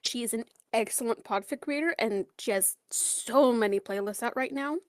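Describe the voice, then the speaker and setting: A woman's voice: on the high side, normal speed, no echo, studio quality. A young woman co-hosting a podcast, playful and giggly in casual banter. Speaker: podcast co-host.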